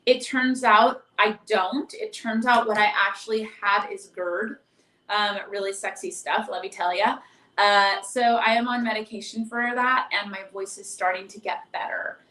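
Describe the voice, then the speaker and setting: A woman with a hoarse voice chatting casually and affectionately as she hosts a livestream as a tarot reader, reading out viewer comments. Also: medium pitch, steady tone, moderate pace, pushed and bright. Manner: effusive, affectionate greetings and endearments. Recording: echoey, roomy live stream.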